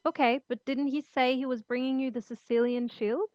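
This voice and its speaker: A bossy, questioning pirate first mate, voiced by a woman at a table read. The pitch is high and the recording is dry and somewhat muffled. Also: steady tone, moderate pace, a little mellow, no room sound, close to an everyday voice. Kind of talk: table read of a fantasy comedy script. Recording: dull, lo-fi microphone.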